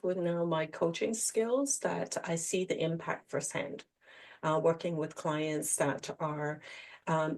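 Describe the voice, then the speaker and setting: A middle-aged woman speaks. She is an executive coach answering as a podcast guest, in a conversational interview style. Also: low-pitched. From Hong Kong.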